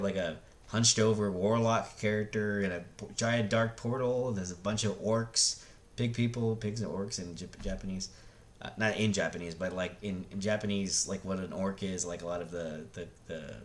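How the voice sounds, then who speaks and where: A man's voice: lowish voice, fairly bright tone. A middle-aged man giving casual, rambling opinions on a vlog, blunt and sweary, often tripping into false starts and starting over. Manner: blunt, profane, halting with repeated false starts. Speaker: host of a movie review vlog.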